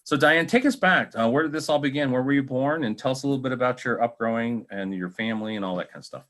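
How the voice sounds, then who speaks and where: A man's voice: medium pitch, fast talker, fairly bright tone. An American man hosting an interview in a casual, joking, rambling way over a video call. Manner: rambling, jokey. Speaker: talk show host, interviewer.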